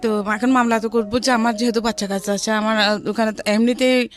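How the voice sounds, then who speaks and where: A woman's voice: on the high side, fairly even intonation, quick pace. A middle-aged woman with a Bangladeshi accent telling her life story in a conversational way, mixing in some English words. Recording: music behind the voice.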